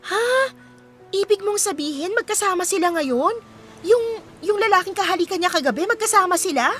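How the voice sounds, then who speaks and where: A caring young woman speaks with sympathy and expression in a radio drama. Her voice is high, with a Filipino accent, over faint background music. She sounds like a devoted, supportive friend. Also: animated, moderate pace, a bit bright. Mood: shocked. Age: young adult. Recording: music playing behind the voice.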